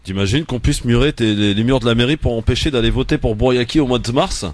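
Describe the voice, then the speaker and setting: A man's voice: medium pitch, fast talker, no room sound. A man hosting a radio talk show, bantering with guests and introducing a correspondent. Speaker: radio host.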